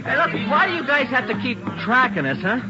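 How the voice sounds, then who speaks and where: A cocky teenage street punk in an old radio drama, with a high, swooping voice. He sneers and needles like a smart aleck. The recording is dull and old, with music behind it. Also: very animated, fairly quick. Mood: insolent, mocking. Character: cocky sixteen-year-old street-gang boy, mouthy and mocking. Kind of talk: 1940s radio acting.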